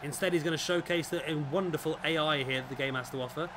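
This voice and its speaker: A man with a raspy, fading voice, commentating on a wrestling video game as the show's host. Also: on the high side, steady tone, quick pace. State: hoarse, voice fading. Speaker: host and commentator of a gaming series. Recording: clearly noisy background.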